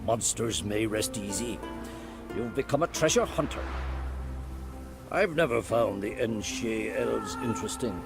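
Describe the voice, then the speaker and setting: A man's voice: fairly low pitch, a little slow, gravelly, over music. A man voicing a learned, grumpy old druid in a video game, wry and sententious in manner. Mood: wry, disapproving.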